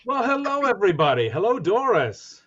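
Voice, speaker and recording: A man's voice: high voice, big pitch swings, a little fast, a bit bright, husky, muffled recording, exaggerated voice. A man playing an eager radio announcer who hams up a society husband, with grand melodramatic diction in 1940s radio comedy style. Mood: eager, showy.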